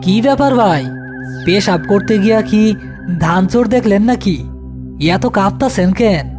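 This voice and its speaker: A man's voice: high voice, lively intonation, a little slow, music in the background. A man voicing an anxious, deferential young villager with a rural Bangladeshi accent in a horror cartoon. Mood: uneasy teasing. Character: nervous young village guard's apprentice, male.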